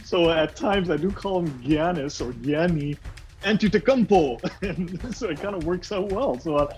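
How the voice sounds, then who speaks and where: A man's voice: high-pitched, expressive, moderate pace, a little mellow, faint music behind. A middle-aged man from Toronto, a sports broadcaster, chatting animatedly in an interview, laughing often and slipping into Punjabi. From Toronto, Canada. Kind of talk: casual video call interview.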